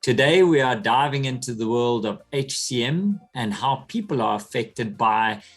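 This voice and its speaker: An effusive male host leads the interview, chatty and full of warm compliments for his guest. His voice is mid-pitched, and faint music plays softly behind him. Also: animated, normal speed. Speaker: podcast host. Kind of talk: interview hosting.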